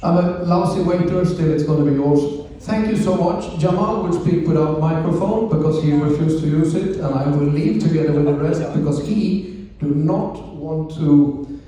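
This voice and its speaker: A ship's captain, a man from Sweden with a Swedish accent, giving a slow, joking speech at a ceremony on a cruise ship. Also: a little high, mellow. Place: event room on a cruise ship. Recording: echoey room, distant mic, faint noise.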